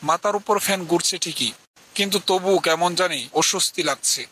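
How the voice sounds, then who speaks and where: A man voicing a polite young villager who tells a scripted ghost story in a measured, earnest way. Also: sits high, pushed and bright, slight background noise. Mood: earnest, uneasy. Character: polite young village man from a poor family recounting his ghost encounter. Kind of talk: scripted horror story narration with acted dialogue. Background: music.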